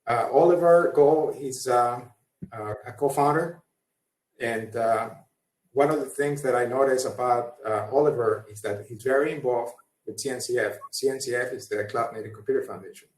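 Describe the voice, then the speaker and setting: A man's voice: mid-range voice, moderate pace. A man moderating a panel, introducing guests with many fillers and restarts. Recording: echoey room, far from mic.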